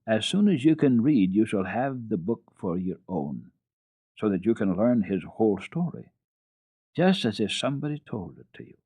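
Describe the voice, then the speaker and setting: A man voicing a kindly, encouraging old grandmother in a storybook reading, coaxing and deliberate but gently firm. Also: on the low side, big pitch swings, slightly rough, exaggerated voice. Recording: narrow-band, echoey old radio recording.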